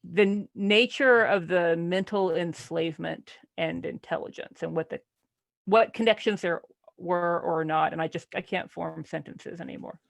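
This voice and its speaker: Woman podcast panelist w/ creaky voice, mid pitch, sarcastic casual chat, really dry sound. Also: moderate pace, no room sound. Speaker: book club podcast panelist. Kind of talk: casual, joking book discussion.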